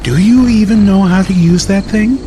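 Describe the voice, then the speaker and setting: A man's voice: high voice, normal speed, noisy background, voice pushed up, close to an everyday voice. Man doing a scornful male pony bandit. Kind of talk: audiobook character dialogue.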